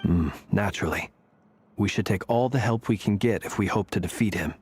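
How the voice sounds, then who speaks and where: An actor playing a dry, teasing character in a game, with a deadpan manner. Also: male voice, lowish voice, a little fast, slight background noise, lightly acted. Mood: matter-of-fact. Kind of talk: game voice acting.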